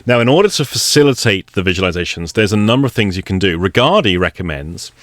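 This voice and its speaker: Wry, low-voiced man teaching meditation. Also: expressive, fast, a bit bright, no echo. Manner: wry, conversational, explains step by step. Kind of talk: relaxed spoken instruction.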